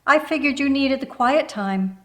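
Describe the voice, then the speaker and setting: A woman's voice: high-pitched, a little slow, pitched above the performer's own voice. A woman voicing a kind, encouraging older neighbor lady from a children's storybook, folksy and affectionate. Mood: warm, welcoming. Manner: encouraging, folksy, calls listener honey. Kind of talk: children's storybook read-aloud.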